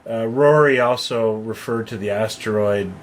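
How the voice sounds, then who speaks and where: A man's voice: lowish voice, moderate pace, poor audio. A man co-hosting a podcast in an informal, conversational style. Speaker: podcast co-host. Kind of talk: casual chat.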